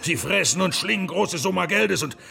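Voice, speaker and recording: An actor playing a determined, probing monk who investigates a crime in a historical radio drama, in a gravelly voice. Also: male voice, high-pitched, animated, normal speed, sharp tone, full character voice. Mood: scornful. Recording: music behind the voice.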